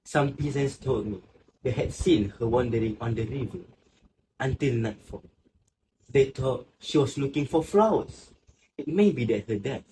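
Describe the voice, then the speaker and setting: A grave, watchful man in a stage drama, played by a male actor. His voice is mid-pitched and his lines are formal and sombre. A faint noise runs behind the recording. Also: expressive, normal speed, close to an everyday voice. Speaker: student actor. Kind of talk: literary stage play acting.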